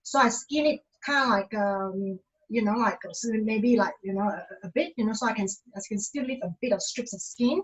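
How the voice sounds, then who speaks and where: A woman from Malaysia, giving a casual cooking demonstration in a halting way, full of fillers and restarts. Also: medium pitch, low-quality recording.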